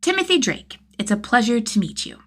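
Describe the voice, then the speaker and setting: Woman voicing a feisty, higher-pitched little boy. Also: sing-song, fairly quick. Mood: polite, earnest. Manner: blunt, eager, childlike. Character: earnest, feisty nine-year-old boy, proud and eager.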